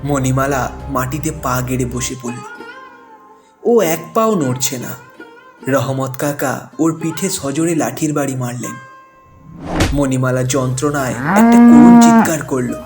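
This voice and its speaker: A man's voice: medium pitch, lively intonation, a little slow. A man narrating a scripted cartoon moral story in an emotive, dramatic way. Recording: music bed behind the voice.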